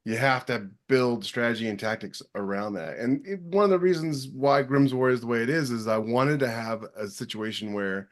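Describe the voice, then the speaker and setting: A man explaining his opinions as an author and co-host in a casual podcast panel. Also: fairly low pitch.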